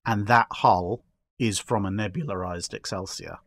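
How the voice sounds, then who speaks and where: A man in a casual discussion, explaining his speculative ideas in a halting way with repeated words. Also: fairly low pitch, gravelly. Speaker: podcast guest.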